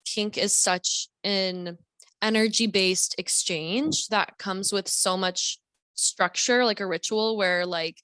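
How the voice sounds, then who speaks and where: A woman's voice: mid-range voice, fairly even intonation, takes it slowly, fairly bright tone, clean tone, no room sound. A young woman as a podcast guest, talking in a rambling, reflective way with many fillers. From Deep South, US. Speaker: guest author. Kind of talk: casual podcast conversation.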